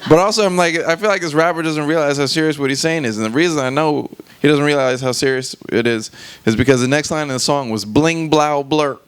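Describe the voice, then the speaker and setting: A man performing conversational stand-up at a live open mic, animated and sweary, often checking in with the audience using short questions. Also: fairly high pitch, a little fast, hardly any pauses. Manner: animated, swearing, frequent rhetorical check-ins to the crowd. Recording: clearly noisy live open mic recording.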